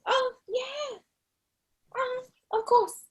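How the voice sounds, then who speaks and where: A woman's voice: extremely high pitch, extremely slow, heavily acted. A woman reading the part of a playful, slangy young woman in a comedy script table read. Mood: teasing. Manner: slangy, animated reactions. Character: Issa, playful broke young woman, teasing and casual.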